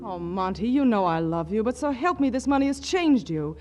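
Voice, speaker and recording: An actress playing a loving but scolding young sweetheart, earnest, in 1940s radio comedy style. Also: female voice, a little high, lively intonation, brisk, loud music behind the voice, natural voice. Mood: scolding, pleading. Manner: earnest, reproachful.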